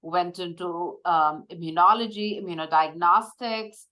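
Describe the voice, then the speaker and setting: A woman in her fifties from India, a medical device executive, talking through her career in a measured, reflective way with frequent pauses and fillers. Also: fairly low pitch, fairly even intonation, pushed and bright. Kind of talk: conversational interview.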